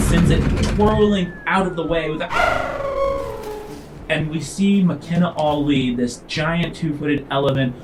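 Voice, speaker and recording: A man's voice: on the high side, background noise. A man, chatty and informal as he hosts and narrates a tabletop role-playing podcast. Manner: chatty, informal, addressing listeners directly. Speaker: host and game master. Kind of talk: casual podcast talk and tabletop game narration.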